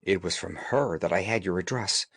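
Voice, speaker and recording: A man's voice: low voice, moderate pace, fairly bright tone, voice pushed down. Man voicing a distraught, pleading genteel young woman. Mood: desperate, pleading. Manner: agitated, pleading, formal. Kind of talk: audiobook character dialogue.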